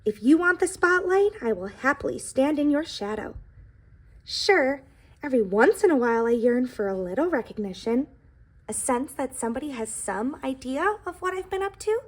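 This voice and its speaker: Storybook pie character female reader: humble, bashful, earnest, higher-pitched with a husky edge. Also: animated, moderate pace. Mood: modest, earnest. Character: humble, modest, self-effacing male pie from a picture book.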